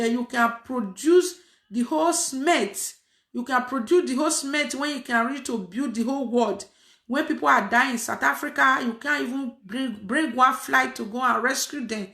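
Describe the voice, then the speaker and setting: A woman's voice: fairly high pitch, vocal fry, sharp tone. A woman preaching casually to an online audience, emphatic and repeating her phrases, with frequent fillers. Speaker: online prayer host. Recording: echoey room.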